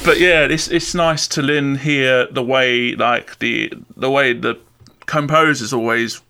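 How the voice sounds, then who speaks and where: Bright-voiced modest man. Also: mid-range voice, takes it slowly, gravelly, slight background noise. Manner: modest, rambling, laughs often. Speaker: composer and sound designer. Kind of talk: casual chat. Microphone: video call.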